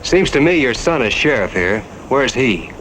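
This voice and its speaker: An actor playing a hot-tempered, defiant American ranch owner, blunt and scornful, in a Western radio drama. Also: male voice, mid-range voice, big pitch swings, moderate pace, fairly bright tone, low-quality recording. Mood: angry scorn. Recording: faint noise, old radio drama recording.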